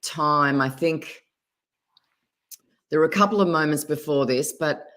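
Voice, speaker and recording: A woman with an Australian accent, a guest telling her story in an interview, often pausing and restarting her words. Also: low voice, fairly bright tone. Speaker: guest, spiritual advisor.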